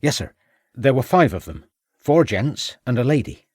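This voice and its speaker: A man's voice: mid-range voice, very animated, moderate pace, a little mellow, slightly rough, no room sound, clean studio sound. A man voicing a young, red-faced village constable who reports earnestly and deferentially.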